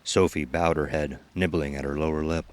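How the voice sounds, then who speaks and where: A man's voice: very deep voice, fairly even intonation, fairly quick. A man giving scripted narration of a horror story as its narrator. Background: music.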